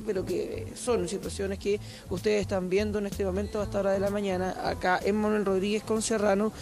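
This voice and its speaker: High-voiced Chilean street reporter. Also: male voice, darkish tone. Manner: fluent, formal reporting. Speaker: field reporter. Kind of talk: live news report. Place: city street corner outdoors. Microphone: handheld microphone.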